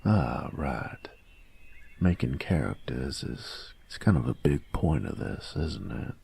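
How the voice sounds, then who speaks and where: Guy doing a cocky bully boyfriend for audio rp, super gravelly, slow drawn out delivery, teasing but grudgingly soft. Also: very deep voice, big pitch swings, exaggerated voice. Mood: sheepish, hesitant. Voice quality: gravelly, husky. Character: cocky young male bully, secretly soft on his boyfriend. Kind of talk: intimate scripted audio roleplay.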